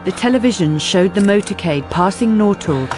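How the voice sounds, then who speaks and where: Female drama narrator, deliberate storytelling, clear music bed. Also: mid-range voice, animated.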